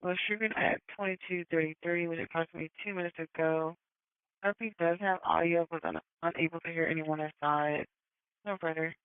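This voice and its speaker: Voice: mid-range voice, steady tone, brisk, clear, resonant tone, no room sound. A dispatcher relaying police calls over a radio channel, through narrow scanner audio. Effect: radio transmission. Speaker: emergency dispatcher.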